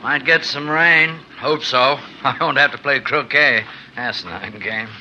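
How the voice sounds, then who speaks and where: A man's voice: medium pitch, measured pace, close to an everyday voice. A man playing a genial, kindly clergyman in a 1950s radio drama, chatty and reassuring with his friend. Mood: genial, relaxed. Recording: faintly noisy 1950s radio recording.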